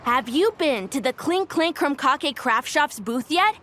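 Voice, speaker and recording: A woman's voice: high-pitched, expressive, measured pace, pushed and bright, slight background noise, full character voice. A woman voicing an eager, inventive young child in a video game, with an enthusiastic childlike delivery. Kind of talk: animated game voice acting.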